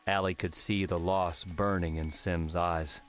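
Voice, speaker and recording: A man's voice: low voice, level tone, unhurried, a bit bright, a little hiss or hum. A man narrating a fantasy adventure audiobook from a script.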